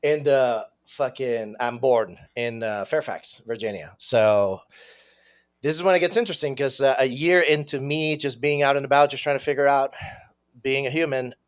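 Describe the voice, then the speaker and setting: Man, thirties, raised in venezuela, podcast guest, casual storytelling, profane, animated. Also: medium pitch, normal speed, clean tone. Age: 30s. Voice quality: profane, animated, laughs while telling.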